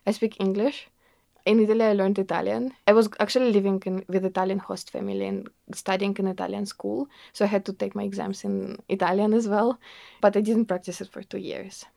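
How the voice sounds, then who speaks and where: Young woman, Russian accent, mid pitch, gravelly edge, earnest interviewee, dry room. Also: flat delivery, fairly quick, dark tone, no room sound. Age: young adult. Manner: earnest, fillers like "like" and "you know", laughs often. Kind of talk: casual conversational interview.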